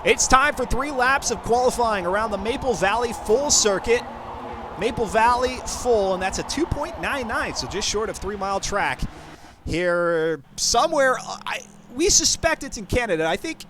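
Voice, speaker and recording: Chatty man with a higher voice. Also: a bit bright, slight background noise. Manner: chatty, thanks viewers, rambling asides. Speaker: streamer and race host. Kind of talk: casual live-stream chat. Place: home setup at a computer.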